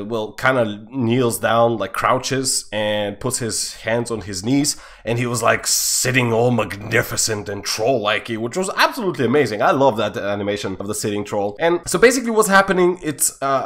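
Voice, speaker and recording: A young man from Bulgaria, a Bulgarian native speaker, telling a rambling gaming story in casual English full of fillers and restarts. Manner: rambling, many fillers and restarts, lively swings in pitch and loudness. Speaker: storytime YouTuber.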